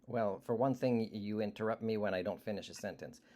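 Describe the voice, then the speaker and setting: A man speaking as an aggrieved customer in a phone complaint, argumentative and halting, often trailing off. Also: on the low side, warm tone. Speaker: customer on a service call. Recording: noisy recorded phone call, clicks.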